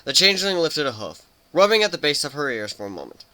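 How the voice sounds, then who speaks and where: A man's voice: on the high side, very animated, quick pace, bright, forceful tone. A man narrating a story as a scripted dramatic reading.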